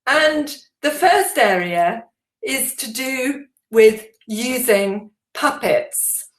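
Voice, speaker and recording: Slow-paced woman presenting to teachers. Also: on the high side, expressive, echoey room. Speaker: teacher trainer presenting a webinar.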